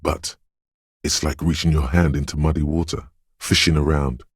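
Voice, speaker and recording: A man voicing a detective's brooding, mystical intuition in a video game, with a deep, gravelly voice and slow, ominous, dreamlike delivery. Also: flat delivery, dry recording, studio quality. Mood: searching. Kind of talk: scripted video game narration in second person.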